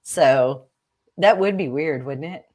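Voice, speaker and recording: A woman's voice: sits low, takes it slowly, a little mellow. A woman co-hosting a show, explaining things in emphatic, folksy, casual chat. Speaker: co-host of a paranormal show.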